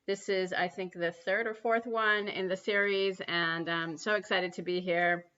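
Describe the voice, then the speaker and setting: New woman, spiritual livestream host, earnest and halting, bright tone, muffled stream audio. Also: fairly low pitch, steady tone. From New York City. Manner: earnest, many ums and restarts. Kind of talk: spiritual livestream talk and guided prayer. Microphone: computer mic on a livestream.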